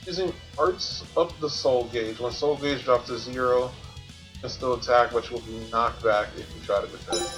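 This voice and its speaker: A man's voice: medium pitch, fairly even intonation, moderate pace, a bit bright, soft background music. A man doing casual gameplay commentary as a streamer, thinking aloud and explaining things to himself. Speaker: video game streamer.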